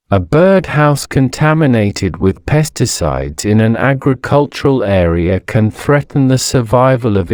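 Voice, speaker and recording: Slow, expressive male narrator. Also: mid-range voice, smooth, dry recording, studio quality. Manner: measured, deliberate enunciation with pauses between phrases. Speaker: narrator of an English-learning audio story. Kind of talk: scripted educational narration.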